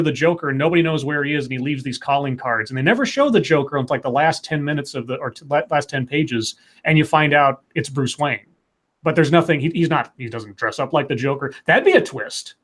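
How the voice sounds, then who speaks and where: A man co-hosting a live comics talk stream, excitedly pitching story ideas in casual, profane chat. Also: a little fast. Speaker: podcast co-host.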